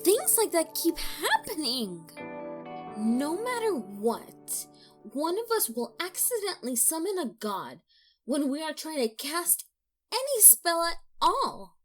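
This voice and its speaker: A woman acts out a young female bard from a fantasy audio drama. Her voice is high and swoops with feeling, and she takes her time over her words. She comes across as earnest and quick to take offense. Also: faint music behind, full character voice. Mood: reflective. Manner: expressive, indignant when provoked.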